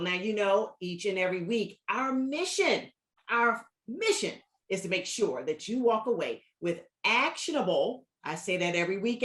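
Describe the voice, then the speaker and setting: A woman's voice: medium pitch, echoey room. A woman hosting a talk show, speaking in an upbeat, emphatic way and often turning to the audience. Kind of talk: hosted interview.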